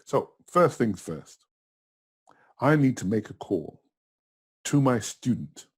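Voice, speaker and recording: A middle-aged man giving a casual step-by-step tutorial in a chatty, simple way, with a husky voice. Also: mid-range voice, sing-song, slow pace. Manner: simple, chatty, talking himself through each step. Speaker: tutor in a tutorial video, also acting as the student.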